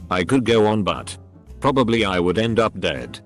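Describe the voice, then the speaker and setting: A man with an English accent narrates a string of online posts in a measured, even-paced read. His voice sits on the low side but moves a lot in pitch from phrase to phrase. Soft background music runs faintly beneath him. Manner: reads one post after another in a steady, measured delivery. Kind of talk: scripted narration.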